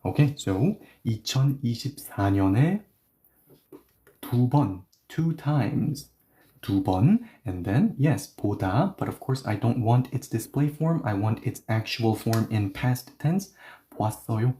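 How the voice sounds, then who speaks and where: A man teaching a language lesson who explains patiently, often pausing on "okay, so" and "yeah", and switches between explanations and slow model readings. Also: mid-range voice, lively intonation, mellow, slight background noise.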